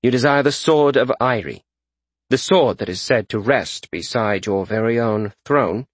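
A man's voice: mid-range voice, measured pace, dry recording, lightly acted. A man voicing a cool, calculating warrior negotiator in a fantasy audiobook, formal and controlled. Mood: cautious, questioning.

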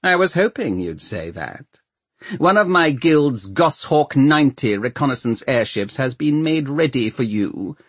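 Man playing a haughty, lively-pitched ruthless mayor. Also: slightly rough, no echo. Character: haughty, commanding male Lord Mayor, coolly ruthless villain.

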